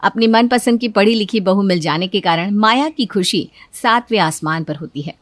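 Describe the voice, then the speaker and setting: A woman's voice: medium pitch, moderate pace, no echo. A woman reading scripted story narration.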